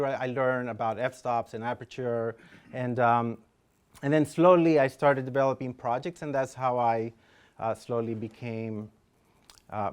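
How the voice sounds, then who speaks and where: Male guest lecturer from Honduras, very clean resonant voice, candid and modest, informal and halting delivery. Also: medium pitch, clear, resonant tone. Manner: hesitant, humble, candid. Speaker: fine-art photographer, guest speaker.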